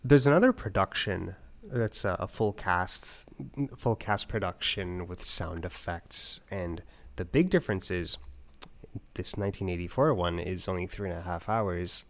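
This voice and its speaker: A man with a fairly low voice hosts a casual review show. He talks conversationally, working out his thoughts as he goes. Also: animated, unhurried, a bit bright. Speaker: podcast host and interviewer.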